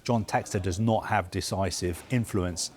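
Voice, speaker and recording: A man with a fairly low voice walks through a football news story for a live broadcast. He speaks in a measured, explanatory way and often pauses on "uh" and "um" while he lays out the details. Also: a little mellow.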